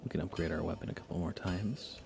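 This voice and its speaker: A man's voice: low-pitched, fairly even intonation, fast, vocal fry, noisy background. A man hosting a casual ASMR game livestream, chatty and informal, sometimes slipping toward a whisper. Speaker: livestream host.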